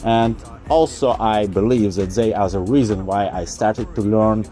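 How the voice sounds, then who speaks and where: A man's voice: fairly low pitch, unhurried, mellow, soft background music. Young Eastern European man, casual vlog talk. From eastern Ukraine. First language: Russian. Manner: informal, uses slang and swearing. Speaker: vlogger. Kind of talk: casual explanatory talk to camera.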